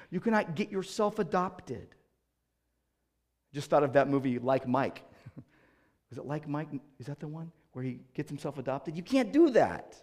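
A middle-aged man preaches a sermon in a voice that sits high for a man. He moves from loud, emphatic declarations to quiet, hushed asides. He often doubles a word as he starts a new thought. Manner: repeats words when starting sentences. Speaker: pastor.

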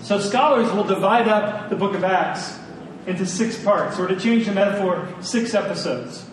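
A man preaching a sermon, emphatic and informal, repeating phrases for stress. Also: moderate pace. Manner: informal, colloquial asides to listeners. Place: large room, speaking to a congregation. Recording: echoey room, distant mic, faint rumbling noise.

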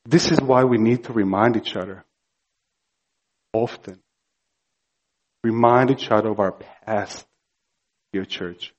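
A man from Croatia with a Croatian accent, preaching a sermon earnestly and emphatically, slow and building to fervor. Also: on the low side, muffled recording. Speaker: pastor.

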